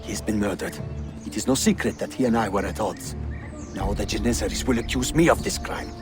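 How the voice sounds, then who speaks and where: A man's voice: on the low side, a little fast, natural voice. A man playing an urbane, middle-aged Ottoman prince with an aggrieved air, formal and theatrical, in a video game. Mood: agitated, aggrieved. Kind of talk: video game cutscene acting. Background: cinematic game music.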